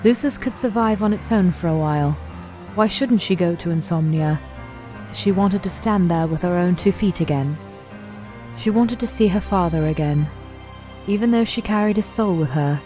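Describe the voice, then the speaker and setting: A woman's voice: lowish voice, moderate pace, dark tone, faint music behind. A woman narrator reading scripted story narration for an audio drama.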